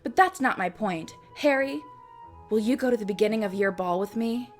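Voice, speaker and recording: A woman's voice: mid-range voice, lively intonation, normal speed, soft background music, natural voice. A woman voicing a bubbly, confident teenage witch schoolgirl in a story reading, emphatic and eager. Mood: pleasant, confident. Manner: bright, pleasant, eagerly emphatic.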